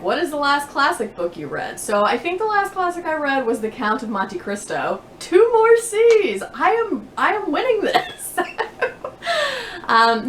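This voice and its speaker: A woman's voice: fairly high pitch, a bit bright. Female book vlogger, conversational and chatty, roomy sound with faint background noise. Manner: chatty, laughs easily. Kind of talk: casual chat to camera answering tag questions. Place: bedroom indoors.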